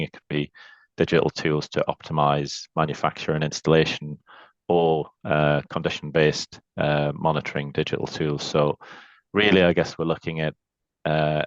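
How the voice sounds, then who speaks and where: Very deep flat-voiced man, slightly muffled. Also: dry recording. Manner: thoughtful, hedging with "I guess". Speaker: industry panelist. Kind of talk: answering a question on a video call. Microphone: video call.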